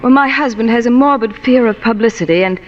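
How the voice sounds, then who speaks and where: An actress playing a sultry, wealthy, teasing femme fatale in a 1940s noir radio drama, with a coy, polished manner. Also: female voice, a little high, quick pace, lightly acted. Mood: flirtatious. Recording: muffled, reverberant old radio broadcast.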